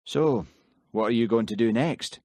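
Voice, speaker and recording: A man's voice: mid-range voice, moderate pace, a little mellow, no echo, pitched above the performer's own voice, natural voice. A man reading an audiobook, voicing a grudging, heartless detective who makes sardonic remarks. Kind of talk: audiobook character voice.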